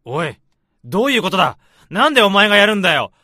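A man's voice: high-pitched, big pitch swings, quick pace, sharp tone, no room sound, clean studio sound, full character voice. A man playing a blunt, hot-tempered AI developer in a radio drama, with dramatic, emphatic delivery. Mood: furious. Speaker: lead character and narrator.

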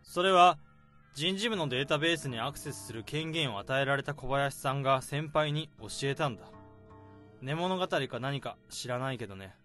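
An actor playing an overworked male office worker laying out a case, in a radio drama, speaking calmly and methodically. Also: fairly high pitch. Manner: calm, methodical explaining.

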